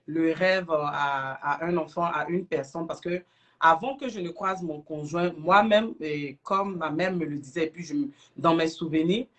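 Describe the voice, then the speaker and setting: A middle-aged woman with an Ivorian accent, speaking earnestly and deliberately as a guest in a livestream interview. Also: low voice. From Ivory Coast. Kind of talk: explanatory interview talk on a livestream.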